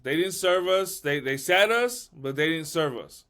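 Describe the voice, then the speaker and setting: A man telling personal stories as a podcast guest, animated and repeating key lines. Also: on the high side, unhurried. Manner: animated storyteller, repeats himself. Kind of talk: casual storytelling.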